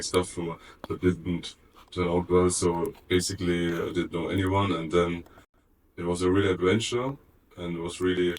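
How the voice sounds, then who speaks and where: Very deep, monotone man, earnest and halting, distant mic. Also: slight background noise. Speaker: interviewee. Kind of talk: documentary interview.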